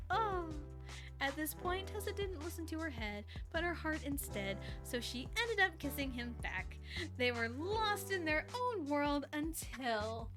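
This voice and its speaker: A woman streamer reading fan fiction aloud in a casual way, given to breaking off for offhand reactions of her own. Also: high voice. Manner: breaks off to react and giggle at the story. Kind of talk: casual live story reading with offhand asides.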